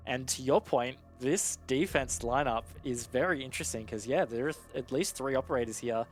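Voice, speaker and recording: A man giving conversational live esports analysis in a measured, explanatory and informal way. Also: medium pitch, soft background music.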